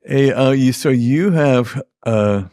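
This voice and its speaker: A middle-aged man hosting a casual interview, speaking in halting, thoughtful phrases that trail off. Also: medium pitch, mellow, dry recording, studio quality. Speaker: podcast host.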